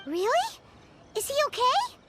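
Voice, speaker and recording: A woman voicing a tiny, excitable, childlike fairy companion in a cartoonish video game, with blunt exclamations. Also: very high voice, measured pace, very noisy, full character voice. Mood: curious. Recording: loud music behind the voice.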